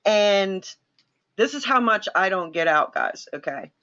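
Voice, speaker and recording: A woman's voice: medium pitch, lively intonation, a little slow, bright, forceful tone, highs cut off. A woman from New York hosting a livestream, chatting casually and telling rambling stories to her viewers. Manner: chatty, rambling storyteller who addresses viewers. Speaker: livestream host. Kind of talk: casual chat.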